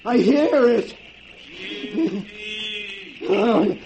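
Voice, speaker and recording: An actor playing a terrified, haunted man in 1940s radio horror, wailing in drawn-out panic. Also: very high voice, vocal fry, exaggerated voice. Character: terror-stricken, haunted man hearing ghostly calls from a well. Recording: noisy 1940s radio recording.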